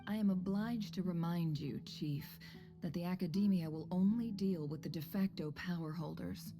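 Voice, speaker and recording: A woman's voice: on the low side, moderate pace, lightly acted. An actress playing a cunning female ambassador in a video game, composed, formal and coolly manipulative. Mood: coolly firm. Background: faint background music.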